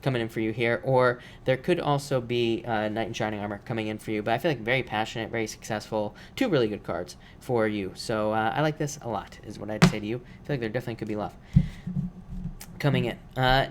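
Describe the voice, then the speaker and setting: A man's mid-pitched voice, roughened by allergies, reading tarot for viewers on a live stream. He speaks loosely and repeats himself, leaning on fillers as he moves from card to card. A faint hiss sits under the recording. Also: fairly quick. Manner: casual, repetitive, many fillers. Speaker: tarot reader.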